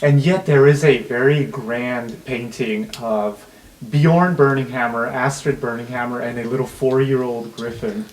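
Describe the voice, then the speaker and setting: A man's voice: mid-range voice, fairly even intonation, unhurried, slight background noise, rough recording. A man acting as game master, improvising narration for a tabletop roleplaying game.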